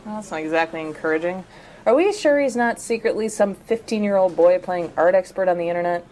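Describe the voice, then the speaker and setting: An actress as a skeptical young woman with a lowish voice, bluntly sarcastic and easily exasperated. It is audio drama banter with faint noise behind her. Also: brisk, a little mellow, lightly acted, low-quality recording.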